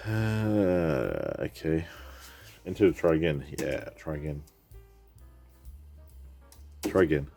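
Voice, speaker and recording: A man's voice: very deep voice, expressive, slow pace, creaky, long pauses, warm tone, soft background music. A man with a head cold, rambling casually as he repairs a computer and thinks aloud. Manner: rambling, thinks aloud, reads on-screen text. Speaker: PC repair vlogger. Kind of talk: casual rambling commentary while working.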